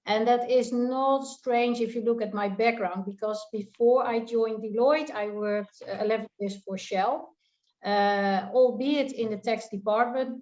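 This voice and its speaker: A woman's voice: medium pitch, a little mellow. Dutch-accented woman on a roomy line. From Netherlands. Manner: hesitant, many fillers. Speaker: tax partner, panelist. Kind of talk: roundtable panel talk. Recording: roomy, dull lo-fi sound.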